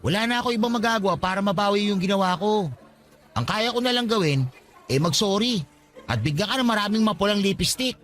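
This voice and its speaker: A man's voice: sits high, animated, brisk, fairly bright tone. A man acting an earnest, eager-to-please young Filipino suitor in a radio drama, pleading and coaxing. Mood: apologetic.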